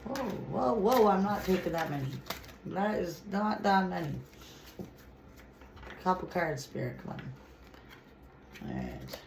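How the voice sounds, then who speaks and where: A woman's voice: fairly low pitch, very animated, measured pace, pauses often. A woman with a stuffy nose from a cold, giving a chatty, rambling tarot reading and reading card messages aloud. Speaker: tarot reader. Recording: echoey room with clear noise.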